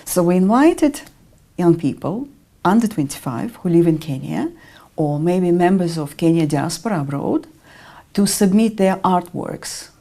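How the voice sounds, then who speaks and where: A middle-aged woman from Russia with a Russian accent, answering interview questions in a measured way with frequent ums. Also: fairly low pitch, expressive, dark tone. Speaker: interview guest, artist and educator.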